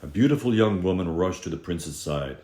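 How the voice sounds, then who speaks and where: A man reading a fairy tale aloud as a dramatic storyteller, expressive, with drawn-out emphasis on key moments. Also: deep voice. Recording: echoey room.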